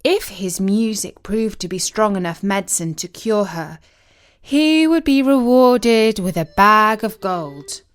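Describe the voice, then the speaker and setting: A woman narrating a scripted story as a storyteller, in an expressive, deliberate and solemn dramatic manner. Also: medium pitch. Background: music.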